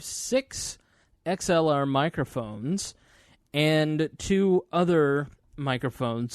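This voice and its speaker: A man's voice: mid-range voice, dry recording. A man hosting a podcast, talking casually about his recording gear, chatty and informal with plenty of fillers, into a studio microphone. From Indiana, US. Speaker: podcast host.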